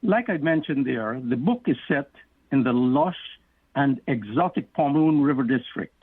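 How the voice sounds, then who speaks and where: A middle-aged man from Guyana talks about his book in a measured, storytelling manner. His voice sits at a middling pitch and comes over a thin, tinny phone line. The sound is dry, with no room around it. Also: expressive, breathy edge, no room sound. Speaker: author and guest. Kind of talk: interview answers.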